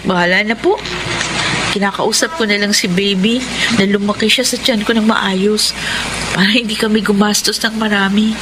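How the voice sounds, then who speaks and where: A woman's voice: medium pitch, fairly even intonation, normal speed, lightly acted. A woman playing a humble, respectful young pregnant student from a poor family in a Filipino radio drama. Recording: clear background noise, vehicle sounds.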